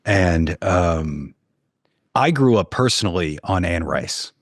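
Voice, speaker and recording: A man from New Orleans with a very deep-pitched voice, speaking casually as a podcast guest. He is hesitant and reflective, working out thoughts aloud. The recording is dry, free of any room sound. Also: lively intonation, takes it slowly, no echo. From New Orleans, United States. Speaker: podcast guest, horror fiction writer. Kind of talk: casual chat.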